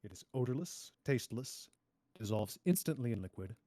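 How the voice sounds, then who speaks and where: A man playing a composed, clever masked adventurer in a table read, speaking in a measured, polite, cool way. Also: mid-range voice, big pitch swings, dark tone, dry recording, studio quality, lightly acted. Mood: calm, confident. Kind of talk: theatrical table-read performance.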